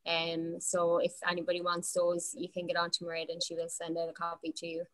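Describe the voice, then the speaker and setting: Irish female moderator, crisp bright tone, flat intonation, informal video-call delivery. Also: lowish voice, a little fast, low-quality recording. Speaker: workshop moderator.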